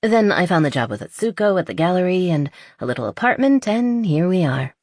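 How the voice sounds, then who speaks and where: A woman's voice: on the low side, sing-song, a little fast, a little mellow, no room sound, clean studio sound, voice pushed up. Woman voicing a bubbly, tipsy young woman, lilting. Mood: warm, candid. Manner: playful, chatty, giddy.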